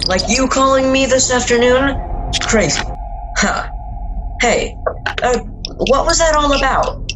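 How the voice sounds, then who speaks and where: A woman playing an easygoing, lively suburban dad. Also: high voice, measured pace, long pauses, over music, poor audio. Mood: casual, puzzled. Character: easygoing suburban husband and father, teasing, mildly concerned. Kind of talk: amateur radio drama acting.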